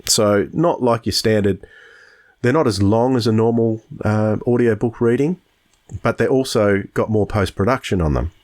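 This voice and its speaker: A man's voice: lowish voice, normal speed, a little mellow. A man with an Australian accent, hosting a casual podcast discussion. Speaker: podcast host.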